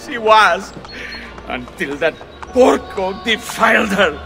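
A man's voice: high-pitched, expressive, slow pace, gravelly, music in the background, full character voice. An actor playing a grieving, bitter middle-aged Roman man in a video game cutscene. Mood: anguished.

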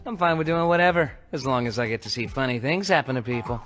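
A man voicing a smug, teasing cartoon rabbit in an animated series, with a sarcastic, flippant drawl. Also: mid-range voice, fast talker, close to an everyday voice. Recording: music behind the dialogue.

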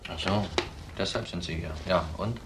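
Brazen ex-convict, bright forceful voice. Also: male voice, low-pitched, normal speed. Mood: guarded. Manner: defiant, blunt, self-assured. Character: brazen, cold-blooded ex-convict widower, younger husband. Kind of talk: German TV crime drama acting. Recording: faint background noise.

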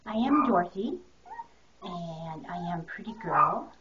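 A woman voicing a calm, modest female puppy in a soft way, on a muffled home video. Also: lowish voice, a little slow, far from the mic, a crowd in the background.

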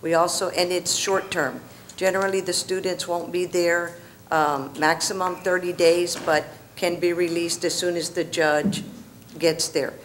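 Quiet, matter-of-fact middle-aged woman. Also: lowish voice, fairly even intonation, a little slow, a little hiss or hum. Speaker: district staff member answering the board. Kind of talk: answering questions at a public meeting. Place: school board meeting room. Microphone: podium microphone.

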